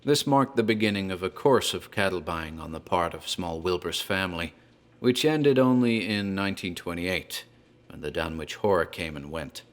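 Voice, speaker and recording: An actor playing a talkative tavern landlord who tells a grim tale in a deliberate, theatrical horror drama style. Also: male voice, deep voice, lively intonation. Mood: ominous storytelling. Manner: deliberate, theatrical storyteller, literary wording. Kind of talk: dramatized horror storytelling, scripted narration.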